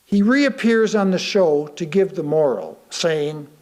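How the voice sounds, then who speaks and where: An American man with a high voice delivers a written sermon. His pace is slow and deliberate, and he leaves pauses to let key phrases land. He puts rhetorical questions to his listeners as he reads. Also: animated, darkish tone. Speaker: lay reader delivering a sermon. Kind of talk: sermon read aloud to a congregation.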